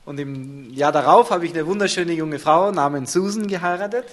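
A man's voice: on the high side, moderate pace. A man interpreting a sermon live, turning each short phrase into a fuller sentence right after the speaker.

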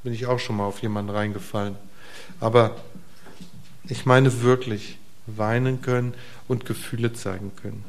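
A middle-aged man gives a relaxed sermon in a church, speaking straight to the people in front of him. His voice sits in a middle pitch, and he swings between emphatic, raised bursts and quiet, soft asides, often asking his listeners questions. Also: normal speed. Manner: casual, conversational preaching with rhetorical questions to the congregation. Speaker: preacher. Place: church hall before a congregation.

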